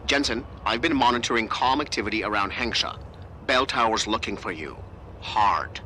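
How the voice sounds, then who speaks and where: An actor playing a snippy, know-it-all tech specialist in a video game, curt and condescending. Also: male voice, on the low side, expressive, normal speed, noisy background, lightly acted. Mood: warning.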